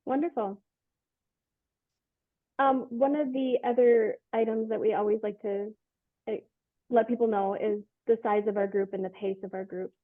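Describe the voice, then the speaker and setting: Female host, mid-pitched, conversational promotional interviewing, narrow tinny call sound with no room echo. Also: fairly even intonation, moderate pace, long pauses. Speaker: interviewer and tour company host. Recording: tinny phone-like call audio, dry.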